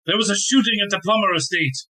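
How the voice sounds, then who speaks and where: A man acts out an alarmed police sergeant in an audio drama. His voice is high for a man and sharply bright, with a flustered manner as he reports to his superior. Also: quick pace. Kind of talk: audio play acting.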